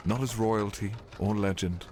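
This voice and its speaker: Low-voiced man narrating dark fantasy. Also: fairly even intonation, moderate pace, a little hiss or hum. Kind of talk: scripted dramatic fantasy narration.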